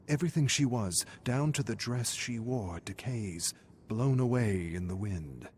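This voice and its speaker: A man's voice: low voice, slight background noise. A man reading a scripted horror story as a dramatic narrator.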